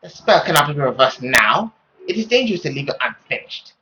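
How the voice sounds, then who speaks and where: A man voicing a stern, possessive middle-aged mother in a dramatic, deliberate, scolding manner. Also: fairly high pitch, big pitch swings, pushed and bright, dull audio, a little hiss or hum. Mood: commanding.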